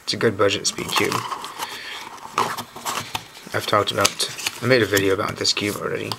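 Hoarse male vlogger, very low gravelly voice, relaxed and unsure, home camera audio with a noisy background. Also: normal speed. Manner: relaxed, thinking aloud with mild uncertainty. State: hoarse, recovering from lost voice. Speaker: cube channel host. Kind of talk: casual unboxing commentary. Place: indoors at a wooden table. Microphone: camera mic on a tabletop shot of hands.

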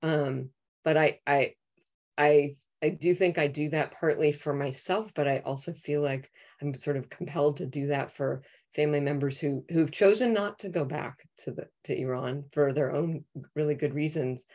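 A middle-aged woman poet talking reflectively and haltingly on a video-call panel, repeating words as she thinks. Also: deep voice, a little mellow. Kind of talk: reflective, unscripted panel talk. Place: small home room on video call. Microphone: video call microphone.

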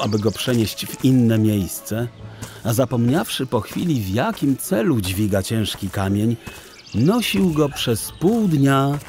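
Slow, expressive male storyteller. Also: medium pitch. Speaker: audiobook narrator. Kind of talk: expressive children's story narration.

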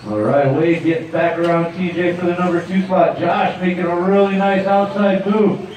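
A man's voice: high voice, normal speed, heavy reverb, far from the mic, a little hiss or hum, poor audio. A man giving live play-by-play commentary at an outdoor dirt track. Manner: steady play-by-play calling. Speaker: race announcer.

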